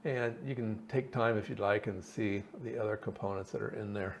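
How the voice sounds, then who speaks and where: A man with a fairly low voice presents a hobby electronics project in an informal, explanatory style. He speaks haltingly, as if working through his reasoning out loud. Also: fairly even intonation, noisy background. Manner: halting, thinking aloud. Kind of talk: informal technical explanation over slides and diagrams.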